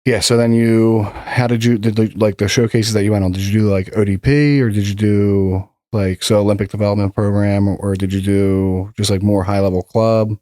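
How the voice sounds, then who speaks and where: A man's voice: lowish voice, steady tone, normal speed, few pauses, mellow, no echo, clean studio sound. A man in his thirties hosting a podcast, asking loose, rambling questions full of fillers. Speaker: podcast host. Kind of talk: conversational interview.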